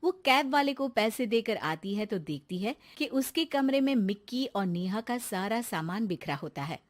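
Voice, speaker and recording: A woman's voice: on the high side, animated, normal speed. A woman narrator telling a scripted cartoon story.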